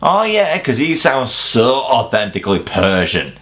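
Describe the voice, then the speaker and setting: A man's voice: mid-range voice, sing-song, a little slow. A man hosting a scripted countdown review, with casual swearing and mock-incredulous asides. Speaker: video reviewer and host.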